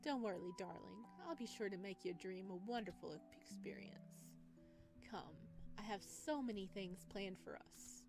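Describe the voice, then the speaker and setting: A woman's voice: medium pitch, moderate pace, vocal fry, over music, lightly acted. Woman voicing a teasing, possessive male dream lover. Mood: teasing, doting. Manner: teasing, coaxing. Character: teasing possessive dream being, male, doting and faintly menacing. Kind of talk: reading visual novel dialogue.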